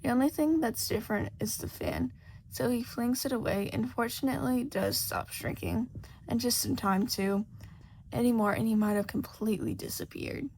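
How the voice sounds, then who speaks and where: Woman, audiobook-style fiction narration, animated intonation, breathy soft dips, slight background noise. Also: on the high side, normal speed, poor audio. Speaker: podfic narrator.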